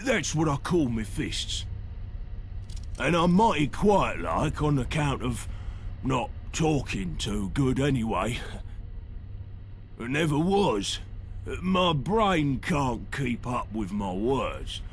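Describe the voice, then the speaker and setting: Slow-talking, gravelly British brawler. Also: male voice, mid-range voice, very animated, a little hiss or hum. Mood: earnest, plain. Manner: halting, fumbling for words, plain-spoken. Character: simple, good-hearted big male brawler, earnest and eager. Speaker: companion character in a video game. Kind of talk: video game dialogue.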